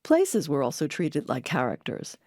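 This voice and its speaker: A woman hosting an audio documentary, reading scripted narration in a measured, explanatory way. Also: low voice, wildly swooping pitch, noisy background. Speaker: documentary host. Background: music under the voice.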